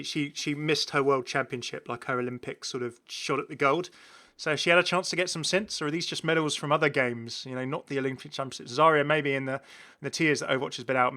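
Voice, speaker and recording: A man's voice: mid-range voice, bright, forceful tone. A man hosting a gaming video in a casual, chatty commentary, rambling and repeating his phrases. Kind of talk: casual commentary on game cosmetics.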